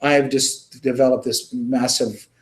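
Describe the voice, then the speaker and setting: A man speaking as an interview guest, recounting experiences in an earnest, halting, informal conversational way. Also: mid-range voice, steady tone. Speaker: interview guest, children's music charity worker.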